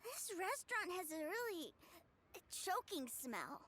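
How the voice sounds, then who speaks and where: An actress voicing a tiny, excitable fairy companion who talks about herself by name, in a video game. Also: female voice, very high voice, takes it slowly, a little hiss or hum, exaggerated voice. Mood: chirpy.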